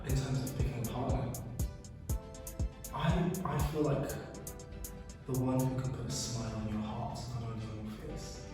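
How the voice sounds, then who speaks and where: A man's voice: mid-range voice, steady tone, moderate pace, long pauses. A man from Sierra Leone, a life coach, chatting as a guest in an upbeat, reflective way. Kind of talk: casual interview chat. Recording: roomy reverb, distant mic, music behind.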